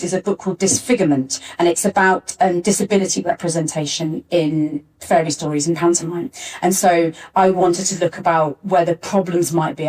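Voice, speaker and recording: Woman on a panel, lowish voice, stop-start chatty, distant mic. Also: darkish tone. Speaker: panel speaker, pantomime scriptwriter. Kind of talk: unscripted panel discussion. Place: arts centre venue, live panel. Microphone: distant backup recorder. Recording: distant mic backup live recording.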